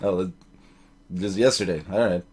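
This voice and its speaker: A man's voice: low voice, fairly even intonation, normal speed, slightly rough. A man hosting a video game let's play, mixing acted dialogue with joking, digressive asides. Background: soft game music.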